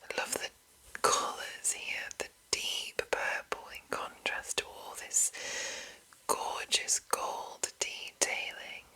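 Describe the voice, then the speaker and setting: A woman reading aloud in a breathy, hushed whisper for ASMR, speaking deliberately as she presents fantasy game lore. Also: rough recording. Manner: slow, hushed, deliberate enunciation. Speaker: ASMR host.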